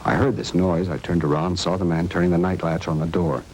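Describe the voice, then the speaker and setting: An actor playing a shaken, indignant American doctor who has been robbed, talking in a hesitant, fussy way in a radio drama. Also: male voice, deep voice, steady tone, quick pace, darkish tone, lightly acted, rough recording. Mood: uneasy recounting. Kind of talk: 1950s radio drama acting. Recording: old radio recording, faint noise.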